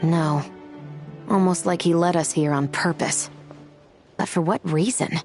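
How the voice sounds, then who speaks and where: A woman's voice: on the low side, unhurried, darkish tone. A woman playing a businesslike, decisive law officer in a video game, with a matter-of-fact manner. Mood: concerned. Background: music.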